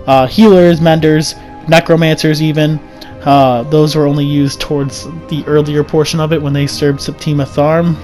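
A man's voice: a little high, darkish tone. A young man with a sick, scratchy throat, reading a game lore script aloud and often stumbling over words. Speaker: lore video narrator. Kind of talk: read-aloud lore narration over gameplay.

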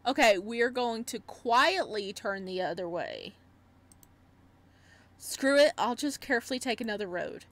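A woman giving casual let's-play commentary and reading game text aloud, with an excitable, reactive manner. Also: a little high, a little slow. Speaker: gaming streamer.

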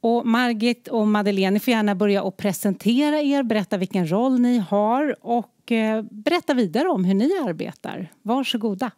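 A woman's voice: fairly high pitch, darkish tone, no echo, clean studio sound. Woman moderator reading a scripted introduction. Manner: measured, reading from a tablet. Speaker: seminar moderator. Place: studio with wooden slat wall.

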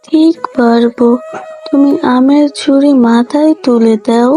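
A woman's voice: high voice, unhurried, soft background music. A woman doing an eager little village girl. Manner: lively, earnest.

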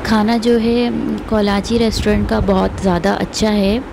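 A woman's voice: medium pitch, fairly even intonation, moderate pace, gravelly, music in the background. A woman, casually narrating her family outing for a vlog and recommending the place. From Pakistan. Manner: chatty, recommending, pious phrases. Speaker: vlogger.

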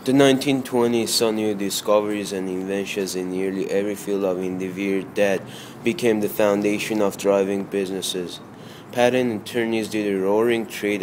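A man reading a scripted radio economy report in a flat, even manner. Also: sits low, low-quality recording. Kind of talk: scripted narration, read aloud. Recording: clear humming background noise.

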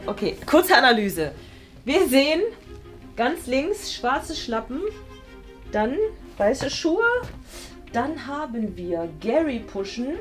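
High-voiced young woman, playfully thinking aloud. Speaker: host and contestant guessing in a game. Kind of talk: casual chat, thinking aloud. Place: small indoor studio room. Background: background music.

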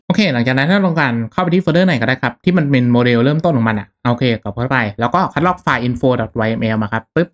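A young man giving a casual, step-by-step software tutorial in an informal, conversational way. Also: mid-range voice, quick pace, hardly any pauses. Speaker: tech tutorial host. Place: indoors at a computer, voice-over.

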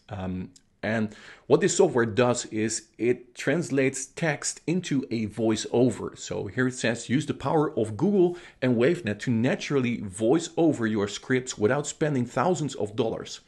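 A man in his thirties whose first language is Dutch, giving a casual, enthusiastic screen-share software review in English with plenty of uh and um fillers. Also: medium pitch, slightly rough.

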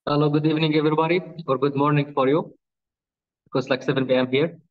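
A man's voice: a little high, fairly even intonation, fast. A man in his thirties from Palestine, Arabic his first language, answering interview questions in plain English with small slips, on a video call from a small room. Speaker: webinar guest. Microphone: laptop webcam on a video call. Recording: dull lo-fi video call audio.

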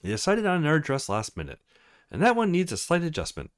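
A man's voice: fairly high pitch, wildly swooping pitch, fast, pitched above the performer's own voice. A man voicing a teasing, easily embarrassed young woman model in a visual novel game. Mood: chatty.

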